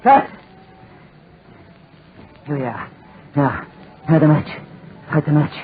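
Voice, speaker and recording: A man's voice: fairly high pitch, very animated, takes it slowly. An actor playing a deranged, desperate man in 1940s radio style, repeating his phrases feverishly and obsessively. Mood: frantic. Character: deranged desperate man set on burning his tormentor to death. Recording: scratchy, tinny 1940s radio recording.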